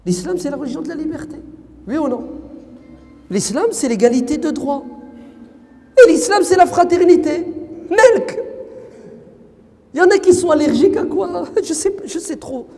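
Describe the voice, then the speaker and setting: A preacher born in France with Berber as his first language, giving a lively lecture sermon and firing rhetorical questions at the audience. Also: very high-pitched, normal speed, long pauses. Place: lecture hall of an Islamic centre. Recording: long hall reverb.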